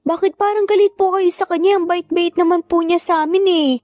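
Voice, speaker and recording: A woman voicing a high-pitched, polite little boy. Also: steady tone, fairly quick, darkish tone, no echo, clean studio sound. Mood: worried pleading. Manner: respectful, earnest, childlike pleading. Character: polite, pleading young boys, worried for their mother.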